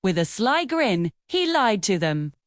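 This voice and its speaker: A woman narrating a scripted children's bedtime story with expressive storybook delivery, recorded as a close voice-over. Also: mid-range voice, measured pace, no echo.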